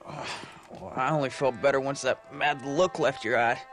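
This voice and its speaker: An actor playing a sulky, wry young frontier son in a video game, with an American accent and a plaintive, sardonic delivery. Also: male voice, mid-range voice, steady tone, normal speed, lightly acted. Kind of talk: video game cutscene acting. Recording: music under the voice.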